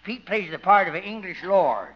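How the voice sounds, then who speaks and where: A man's voice: high-pitched, animated, normal speed. An actor playing an eccentric, folksy small-town man with a rambling, eager way of talking in a 1950s radio comedy. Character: eccentric small-town man pitching harebrained show ideas. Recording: dull vintage radio broadcast.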